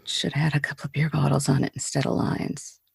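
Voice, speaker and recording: Woman acting a husky, gravelly, jaded film star. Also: sits low, level tone, dry recording, exaggerated voice. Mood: bitter, nostalgic. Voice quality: husky, breathy. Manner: halting, brooding, slangy. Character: jaded female Hollywood film star, slum-raised, frightened, bitterly wistful. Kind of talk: 1940s radio drama acting.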